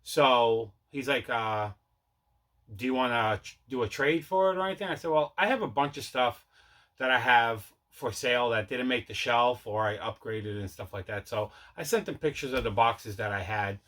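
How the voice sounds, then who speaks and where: Bright-voiced man, casual unboxing host. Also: medium pitch, animated, normal speed. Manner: rambling, conversational, self-correcting. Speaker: host of a collecting channel. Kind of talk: casual unboxing vlog chat.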